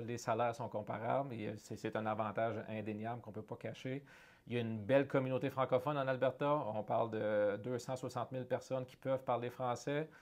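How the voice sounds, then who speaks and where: A man's voice: mid-range voice, darkish tone. Earnest middle-aged man, Canadian French accent, interview guest who restarts phrases while searching for words. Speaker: guest, head of a francophone economic development organization. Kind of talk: conversational interview.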